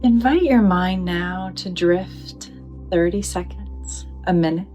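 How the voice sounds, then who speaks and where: Slow, gentle woman guiding meditation. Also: fairly low pitch, soft background music. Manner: gentle, soothing, deliberate phrasing with pauses. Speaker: therapist leading a guided meditation. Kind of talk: guided meditation narration.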